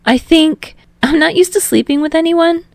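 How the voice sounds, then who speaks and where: Audiobook role: friendly, eager young man woman performer, raised pitch, chatty and a little unsure, dry sound. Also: normal speed, vocal fry, no echo. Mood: sheepish. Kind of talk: fan fiction audiobook dialogue.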